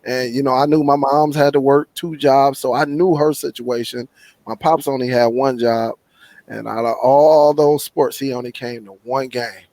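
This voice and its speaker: A man's voice: mid-range voice, steady tone, normal speed, darkish tone, no echo. A man talking as a guest in a casual live chat, telling a heartfelt personal story earnestly.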